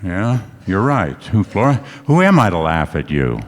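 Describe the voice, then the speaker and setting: An American actor playing a wry, teasing private detective in a 1940s-style radio drama. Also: male voice, low-pitched, sing-song, moderate pace. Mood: wry, confronting. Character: Sam Spade, wry hard-boiled male private detective. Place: live radio play on a stage. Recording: echoey stage hall, music behind.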